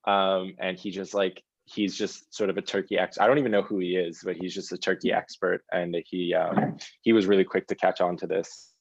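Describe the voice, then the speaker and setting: A man's voice: low voice, flat delivery, normal speed, creaky, dry recording, dull audio. A man on a panel video call who talks thoughtfully and searches for his words. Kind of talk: casual panel discussion.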